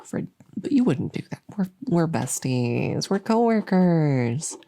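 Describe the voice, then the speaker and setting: A woman playing an anxious, overworked but friendly monster under the bed in a comedic ASMR roleplay, rambling and stammering softly, often slipping into a whisper. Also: low voice, very animated, takes it slowly, heavily acted. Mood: eager, scheming. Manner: rambling, nervous, stammering and repeating herself.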